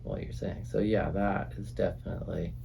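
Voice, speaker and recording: A man, a music producer and drummer, talking in a relaxed, halting way in a recording studio about the songs he played on. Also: sits low, vocal fry, slight background noise. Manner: reflective, halting, many fillers. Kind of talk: casual conversation.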